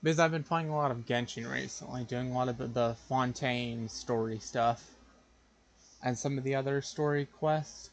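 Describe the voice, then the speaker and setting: A man livestreaming a game with casual, halting chat, often muttering to himself. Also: mid-range voice, fairly even intonation. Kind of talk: casual gaming stream chat.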